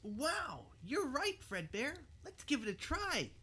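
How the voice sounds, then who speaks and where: A woman's voice: high-pitched, huge pitch swings, takes it slowly, bright, forceful tone, a little hiss or hum, exaggerated voice. A woman voicing an eager, agreeable cartoon animal friend in a children's show.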